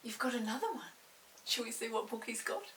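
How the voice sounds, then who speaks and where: A woman's voice: fairly high pitch, brisk, fairly bright tone. An older woman, a grandmother hosting storytime, reading to children with simple explanations and chatty questions. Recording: hissy, distant room microphone.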